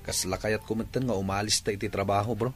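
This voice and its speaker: A man's voice: on the low side, steady tone, fast, faint music behind, close to an everyday voice. An actor playing an earnest, lovelorn young man in an Ilocano radio drama, with melodramatic delivery. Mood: heartbroken.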